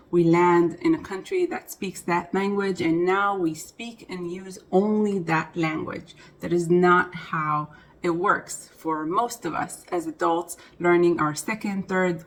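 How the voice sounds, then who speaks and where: A woman with a slightly low voice talks like a teacher addressing learners directly. She is a native Hebrew speaker. Her phrasing is deliberate and emphatic as she lays out her explanation. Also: fairly even intonation, a little hiss or hum, poor audio. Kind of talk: explanatory talk to camera.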